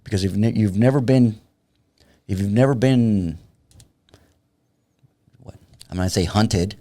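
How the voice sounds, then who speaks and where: A man in his fifties from San Antonio speaks as a podcast guest, a little low in pitch. He talks plainly and wryly, poking fun at himself. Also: measured pace, dark tone. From San Antonio, Texas. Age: 50s. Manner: wry, self-deprecating, plain-spoken. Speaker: guest, tactical police trainer. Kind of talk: casual podcast conversation.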